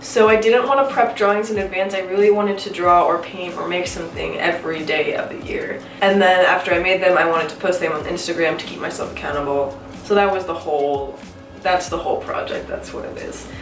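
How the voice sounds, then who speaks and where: A woman's voice: fairly low pitch, steady tone, a little fast, distant mic. A woman in her twenties with a stuffy, congested voice from a cold, chatting casually to the camera about her art. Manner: chatty, self-questioning, giggly. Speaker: artist vlogger. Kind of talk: casual talking-to-camera vlog. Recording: roomy echo, a bit off-mic, soft music.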